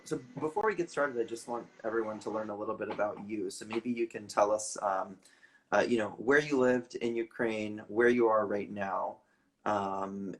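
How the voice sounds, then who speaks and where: A man's voice: fairly low pitch, a little hiss or hum, low-quality recording. A man hosting a live online interview, hesitant and full of ums as he asks his questions. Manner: hesitant, many ums and restarts. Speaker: interview host.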